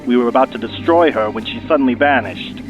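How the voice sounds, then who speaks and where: A man's voice: mid-range voice, fast talker. A man playing a stoic starship captain in a sci-fi audio drama, giving a clipped, formal military report over a radio transmission. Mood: grim, businesslike. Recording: dull radio transmission, music behind.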